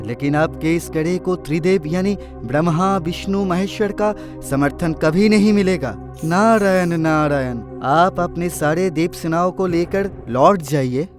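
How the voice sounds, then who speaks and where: A man playing a gentle, devout divine sage in a cartoon, with measured, instructive delivery and a chanting way of talking. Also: fairly high pitch, close to an everyday voice. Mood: firm reproach. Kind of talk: cartoon mythological dialogue. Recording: faint music behind.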